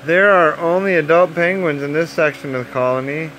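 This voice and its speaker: A man narrating a children's nature story aloud in simple, deliberate phrases, as if at bedtime. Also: on the high side, fairly even intonation, fairly bright tone, a little hiss or hum, low-quality recording.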